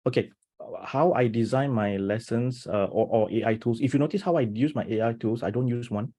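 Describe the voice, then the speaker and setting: A man presenting as a teacher on a video call webinar, talking with energy and many restarts, often checking in with "right?". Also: mid-range voice. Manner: animated, talks fast with many restarts and rhetorical "right?". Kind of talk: enthusiastic webinar talk.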